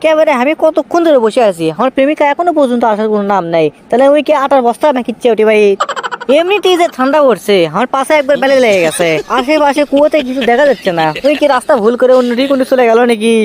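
A man's voice: extremely high pitch, expressive, fast talker, a crowd in the background. A man voicing a whiny, sulky young boyfriend in a comic cartoon, with a Bogura accent from northern Bangladesh. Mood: grumbling. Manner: pleading, stubborn, breaks into teasing song.

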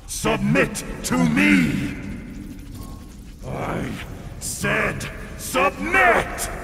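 A mad galactic tyrant in a video game, contemptuous and commanding. His gravelly speech drags out very slowly and rings in a vast reverb. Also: wildly swooping pitch, noisy background, full character voice. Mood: enraged, imperious. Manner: pompous, menacing, commanding. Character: insane godlike cosmic villain, booming, imperious, contemptuous. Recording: booming long reverb under loud music.

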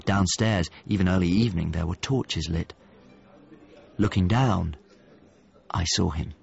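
A brooding painter in a radio drama, searching for a lost friend. His voice is very low and gravelly, close and intimate. The sound is slightly dull. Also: unhurried, heavily acted. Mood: hushed, recollecting. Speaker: narrator and lead character of a radio drama.

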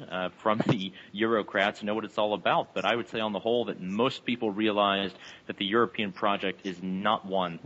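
A man with an American accent answers radio interview questions as a journalist guest. He speaks earnestly and haltingly, in a low voice. The sound is dull and lo-fi. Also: fairly bright tone.

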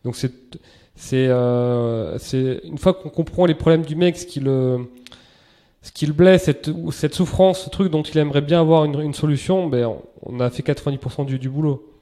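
Guy in his 30s, podcast guest, laid back and slangy, warm darker tone, voice a bit higher than most guys. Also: normal speed. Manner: relaxed, chatty, lots of restarts and slang. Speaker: interview guest, entrepreneur. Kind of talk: casual podcast interview.

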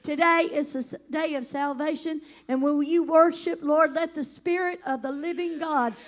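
Loud, high-voiced older woman worship pastor. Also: fairly even intonation, moderate pace. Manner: fervent, loud preaching voice. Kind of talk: church service reading and prayer. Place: church sanctuary stage. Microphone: handheld mic.

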